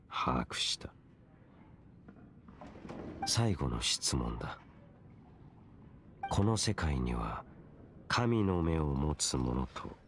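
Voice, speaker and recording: Very deep, solemn male wanderer. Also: gravelly, slight background noise, full character voice. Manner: measured, stern, detached. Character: solemn, mysterious young male wandering warrior, cold and formal. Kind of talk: Japanese game voice acting.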